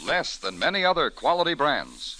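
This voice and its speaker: A man's voice: a little high, wildly swooping pitch, normal speed, low-quality recording. A man announcing in the style of a vintage 1940s radio commercial. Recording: old radio recording, faint music.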